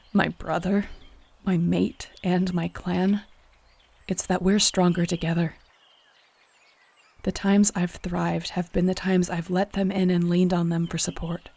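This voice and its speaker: Woman as a gravelly, defiant shifter heroine. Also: lowish voice, a little slow. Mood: defiant, resolute. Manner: steady, confident, defiant. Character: defiant, resolute young female wolf shifter heroine. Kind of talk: audio roleplay drama acting.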